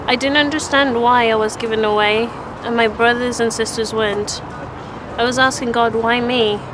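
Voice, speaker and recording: A young woman from Zimbabwe giving a personal faith testimony in an earnest, even way, with small restarts. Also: a little high, normal speed. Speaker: church testimony speaker. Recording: outdoor street noise behind the voice.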